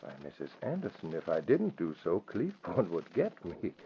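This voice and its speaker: An actor in an old-time radio drama playing a scheming casino owner, formal and persuasive in manner. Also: male voice, sits low, wildly swooping pitch, normal speed, vocal fry, breathy edge, full character voice. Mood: grave, persuasive. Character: smooth-talking, scheming male gambling casino owner. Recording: dull, noisy old radio recording.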